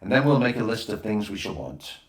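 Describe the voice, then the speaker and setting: A man's voice: lowish voice, very animated, a little fast. A man voicing a sensible, practical older girl in a storybook, with an organising manner. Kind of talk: storybook character reading. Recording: roomy, echoing voice recording.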